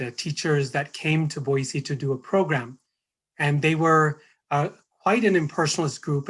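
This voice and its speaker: A hoarse middle-aged American man giving a spiritual talk, hesitant, with many fillers and restarts. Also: a little high. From United States. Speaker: guest speaker.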